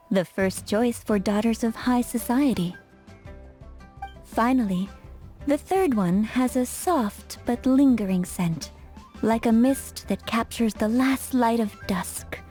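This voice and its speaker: A flirtatious perfumer, high mellow voice. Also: female voice. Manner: coquettish, instructive, poised. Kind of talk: English video game voice acting.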